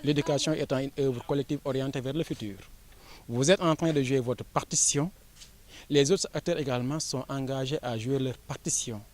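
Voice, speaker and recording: Measured Senegalese man, official tone. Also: mid-range voice, a little hiss or hum. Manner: measured, formal, with frequent pauses and fillers. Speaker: education official. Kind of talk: formal interview statement.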